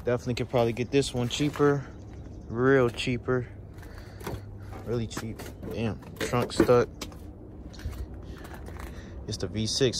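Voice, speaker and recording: Teasing man filming a car lot. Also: medium pitch. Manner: teasing, chatty, addresses viewers as y'all. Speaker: car flipping vlogger. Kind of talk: casual walkaround commentary. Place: outdoor car auction lot. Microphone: handheld phone camera. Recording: phone video with outdoor noise.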